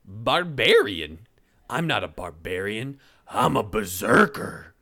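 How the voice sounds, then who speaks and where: A man voicing a hot-tempered brutish male berserker who shouts gruffly. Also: mid-range voice, big pitch swings, measured pace. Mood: enraged.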